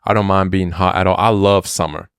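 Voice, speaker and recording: A man's voice: sits low, steady tone, fairly quick, clean tone, dry recording, studio quality. A man co-hosting a podcast, chatting in an upbeat, playful way. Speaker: podcast co-host. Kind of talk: casual chat.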